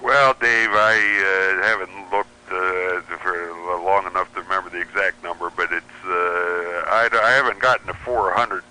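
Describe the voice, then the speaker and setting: A man's voice: sits low, fairly even intonation, unhurried, hardly any pauses, sharp tone, slight background noise, low-quality recording. A man chatting and explaining as a guest in a casual radio interview, with a wry manner.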